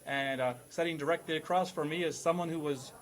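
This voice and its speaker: A man's voice: on the high side, steady tone, brisk. A man hosting a podcast quiz, mixing scripted introductions with playful questioning in a mock-schoolmasterly manner. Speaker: quiz show host.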